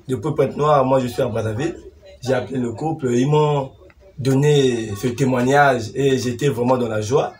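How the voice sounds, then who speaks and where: A man in his thirties with a Congolese accent giving informal religious exhortation, repeating phrases for emphasis. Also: medium pitch. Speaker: preacher commenting on testimonies. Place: small living room indoors. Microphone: camera microphone from a short distance. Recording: faint background noise, room ambience.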